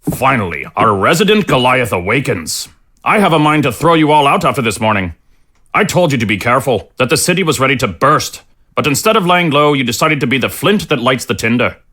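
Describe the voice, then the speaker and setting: A man acts out a grumbling, fed-up little innkeeper in a fantasy audio drama. His scolding voice is forceful and bright, at a middle pitch. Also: very animated, brisk. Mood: exasperated. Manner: gruff, scolding. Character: grumbling, exasperated small male innkeeper.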